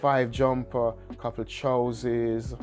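Jamaican man, mid pitch, easygoing storyteller, repeats lines, faint music under the talk. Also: slow pace. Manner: relaxed storytelling, repeats phrases. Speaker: guest. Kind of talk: casual chat.

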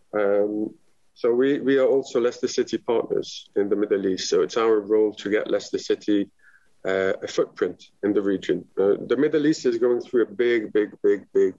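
A man's voice: on the low side, warm tone, dry recording, highs cut off. A man from Lebanon, a guest talking with enthusiasm in a casual podcast chat, rambling with many fillers. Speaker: guest, business founder.

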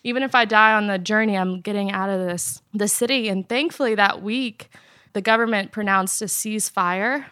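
Smooth-voiced young woman telling her story. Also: medium pitch, normal speed, dry recording. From Afghanistan. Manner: earnest, steady, soft laughs. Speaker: interview guest. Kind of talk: personal storytelling in an interview. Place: apartment in Nashville.

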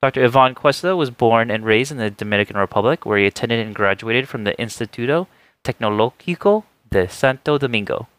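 Fast-talking young male student host. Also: medium pitch, expressive, fairly bright tone, no room sound. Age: young adult. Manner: formal, polite. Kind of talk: scripted introduction and interview questions.

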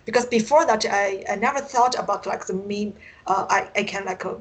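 A woman with a Chinese accent and a medium-pitched voice talks casually as an interview guest over an internet call. Chinese is her native language, so her English comes haltingly as she searches for words, and she often chuckles. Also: breathy edge. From China. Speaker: interview guest, science fiction writer and translator.

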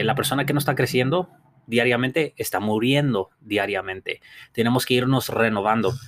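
A man's voice: medium pitch, fairly bright tone. Earnest man giving motivational talk. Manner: earnest, encouraging, restates points with frequent restarts. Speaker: podcast host.

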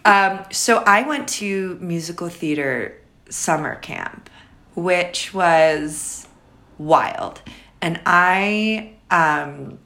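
Woman, 30s, raspy low alto, loose chatty storyteller on a podcast. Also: drawn-out words, bright, forceful tone. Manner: rambling, many fillers and restarts. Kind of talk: casual podcast conversation.

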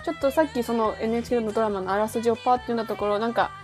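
Higher-voiced woman chatting as podcast host. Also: very fast, over music. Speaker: singer. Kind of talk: casual book chat.

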